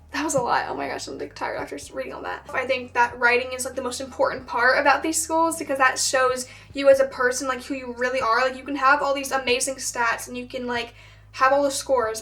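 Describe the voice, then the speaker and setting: A woman's voice: high voice, a bit bright, distant mic. A young woman in her late teens who speaks with a stutter, talking casually to camera with frequent filler words. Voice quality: has a stutter. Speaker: vlogger.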